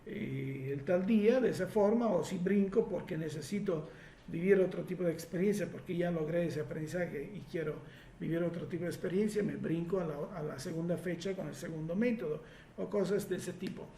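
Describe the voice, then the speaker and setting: A man from Italy speaking Spanish with an Italian accent, a regression therapist answering listener questions in a reflective, explanatory way with many pauses. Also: high voice, vocal fry, dark tone, roomy sound, a little hiss or hum.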